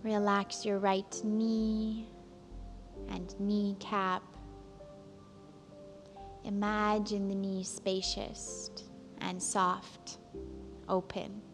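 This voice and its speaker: A woman instructor leading a guided relaxation, speaking calmly and gently, with long pauses between soothing instructions. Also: medium pitch. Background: soft background music.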